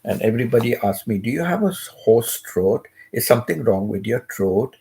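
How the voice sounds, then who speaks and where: An older man hosting a casual chat from his bed, keeping his voice low and nearly whispering, so it comes out hoarse. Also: lively intonation, a little fast. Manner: speaks softly, almost whispering; hums tunelessly between thoughts. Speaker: channel host. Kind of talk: casual rambling livestream chat. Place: bedroom, in bed.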